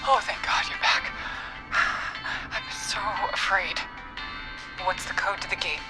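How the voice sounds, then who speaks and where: A woman's voice: medium pitch, slow pace, over loud music, natural voice. A woman playing a pleading but sinister caller who feigns fear in a video game, heard distantly on a call. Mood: breathy, fearful.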